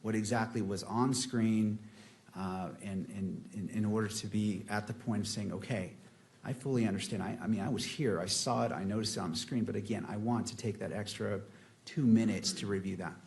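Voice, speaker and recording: A man's voice: lowish voice, fairly even intonation, a little fast, creaky, a little mellow. A man speaking as a judge in court, unscripted, hesitating and searching for his words.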